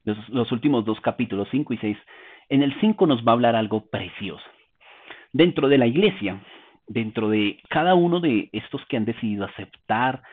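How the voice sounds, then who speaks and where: A man preaching a teaching sermon, explaining Bible passages in a calm way and asking rhetorical questions. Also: mid-range voice, breathy edge. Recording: tinny phone-like sound.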